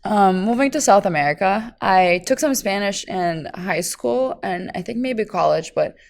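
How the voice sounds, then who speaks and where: A woman's voice: mid-range voice, animated, normal speed, gravelly. A young woman who grew up in Lithuania and speaks English as a later language, chatting as a podcast guest and laughing often as she tells stories. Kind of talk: casual interview chat.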